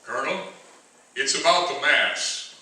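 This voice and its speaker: A man playing a calm, reasonable army chaplain in a radio play. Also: medium pitch, measured pace. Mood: calm, reasoning. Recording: very echoey hall, distant mic.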